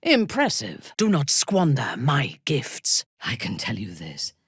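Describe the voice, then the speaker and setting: Video game drow war leader: woman's deep register, slow and deliberate, imperious, menacing, expressive swings in tone. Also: husky, no echo, studio quality, heavily acted. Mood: haughty, measured. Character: ruthless, imperious female drow warband commander. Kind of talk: dramatic video game voice acting.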